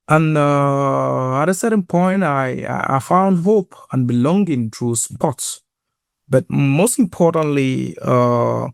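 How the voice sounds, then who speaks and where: A man with a Cameroonian accent speaks in a mid-pitched voice, recorded close and dry. He sounds earnest as he tells a personal story, often halting and repeating words as he goes. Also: darkish tone, no echo. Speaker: guest, sports inclusion program lead.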